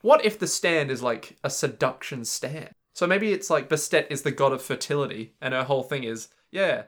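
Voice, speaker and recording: A man's voice: medium pitch, animated, moderate pace, a bit bright. A man, co-hosting a podcast in casual chat, thinking aloud with playful, rambling guesses and lots of fillers. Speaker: podcast co-host.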